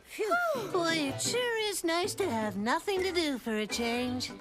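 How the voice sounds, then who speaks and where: A woman's voice: very high voice, very animated, measured pace, over loud music, full character voice. A woman voicing a self-satisfied young boy in a children's cartoon, with a pompous know-it-all manner. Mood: smug. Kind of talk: animated children's cartoon acting.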